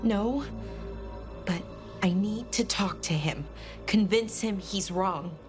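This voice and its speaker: A tender, anxious elven woman, played by an actress in a fantasy game drama. She speaks slowly and haltingly in a mid-range voice, with earnest grief, over loud music. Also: mid-range voice, close to an everyday voice. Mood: sad, resolute. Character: anxious, tender elven woman grieving her lost brother. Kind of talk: dramatic video game cutscene acting.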